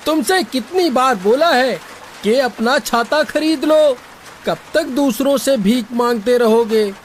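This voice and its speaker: A woman voicing a scornful, unhelpful young school friend, scolding in an animated story. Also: high voice, takes it slowly, a little hiss or hum.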